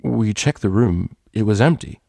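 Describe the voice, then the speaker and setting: A man's voice: on the low side, expressive, normal speed, creaky, warm tone, no echo, studio quality. Man as a nervous, hesitant stallion lackey. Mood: fearful. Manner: hesitant, stammering. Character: nervous, cowed middle-management stallion lackey.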